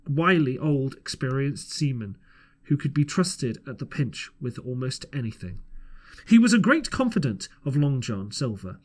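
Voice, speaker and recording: A man with a slightly high voice reads an old seafaring tale aloud. He narrates from a script as an amateur audiobook reader, now and then tripping over a word and taking it again. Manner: stumbles over words and rereads phrases. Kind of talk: scripted storybook narration of an old sea adventure.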